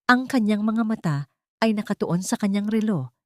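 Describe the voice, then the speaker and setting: A woman's voice: medium pitch, animated, moderate pace, darkish tone, no room sound, clean studio sound. A woman storyteller reading scripted, dramatic narration for an audio story.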